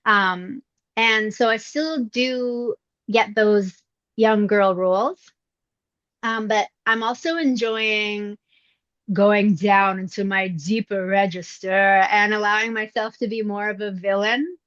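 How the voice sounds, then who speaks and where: A woman's voice: medium pitch, slow, dry recording. Middle-aged lady with a young voice, easygoing and thoughtful, lots of ums, a bit dull and muffled, dry close sound. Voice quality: youthful-sounding voice. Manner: relaxed and reflective, with many fillers and restarts. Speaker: voice actress, interview guest. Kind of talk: casual interview answers. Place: indoors at her mother's home.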